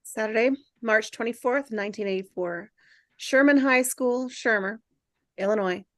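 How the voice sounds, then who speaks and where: A woman's voice: on the high side, normal speed, fairly bright tone, no room sound, studio quality, close to an everyday voice. A woman reading the part of an earnest, mildly defiant teenage boy nerd in a film script table read.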